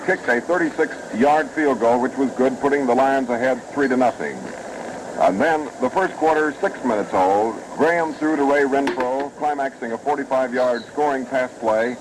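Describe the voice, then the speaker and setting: Mid-pitched American 1950s sports radio man, noisy recording. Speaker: sports radio announcer giving statistics and recaps.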